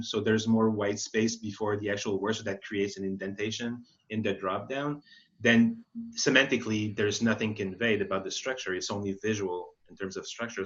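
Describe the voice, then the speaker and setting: A man's voice: lowish voice, a bit bright. A man explaining as an expert in an informal webinar Q&A, thinking aloud and rephrasing as he goes. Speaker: accessibility expert presenting a webinar. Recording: muffled, band-limited webinar audio.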